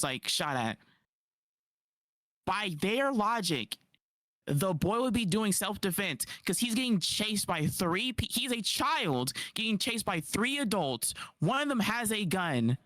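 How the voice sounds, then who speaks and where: A man's voice: high voice, dry recording. Guy ranting on his stream, voice jumps all over, dead dry close mic. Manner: animated, incredulous, rapid rebuttals. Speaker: livestream reaction commentator. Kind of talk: casual reaction commentary.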